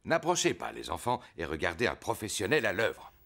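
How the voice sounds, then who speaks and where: A man's voice: deep voice, huge pitch swings, brisk, gravelly, a bit bright, a little hiss or hum, exaggerated voice. A man voicing a cheerful, overconfident, bumbling father pig in a French children's cartoon, boastful and jovial. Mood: confident, boastful. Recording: music behind the voice.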